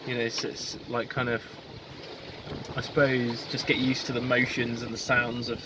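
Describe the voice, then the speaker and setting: Guy vlogging from his sailboat, lots of ums, wind and waves loud behind him. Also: mid-range voice, monotone. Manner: halting, lots of ums and restarts. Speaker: solo sailor vlogger. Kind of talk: casual video diary. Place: outdoors on a small sailboat at sea. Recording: wind and boat noise. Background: wind, water and boat sounds.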